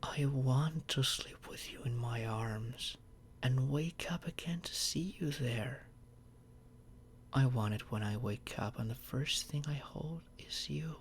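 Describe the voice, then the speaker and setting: A man playing a tender, protective boyfriend in a soft, soothing ASMR roleplay, often close to a whisper. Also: mid-range voice, takes it slowly, slight background noise. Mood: tender, pleading. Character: tender, protective boyfriend soothing his overworked partner to sleep.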